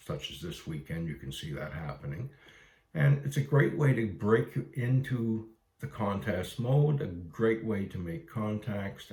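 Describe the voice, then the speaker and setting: Deep-voiced Canadian man, roomy sound. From Ontario, Canada. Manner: plain-spoken, encouraging, conversational. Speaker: amateur radio operator and instructor. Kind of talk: informal explainer for beginners. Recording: roomy, echoey room sound.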